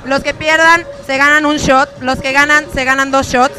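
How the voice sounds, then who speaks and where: A woman with a high voice joins as a guest, joking and mocking herself as she explains the party games. She is recorded outdoors at a pool party, with loud music and a crowd behind her. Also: little pitch movement, moderate pace. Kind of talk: casual chat.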